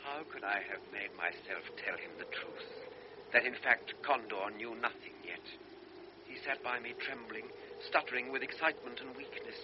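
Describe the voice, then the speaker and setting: An actor as a hesitant young lieutenant. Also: male voice, medium pitch, lively intonation, moderate pace, lightly acted. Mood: reflective. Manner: hesitant, stammering. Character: young cavalry lieutenant, guilt-torn and hesitant. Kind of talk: radio drama acting and first-person narration. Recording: dull old radio recording with hiss.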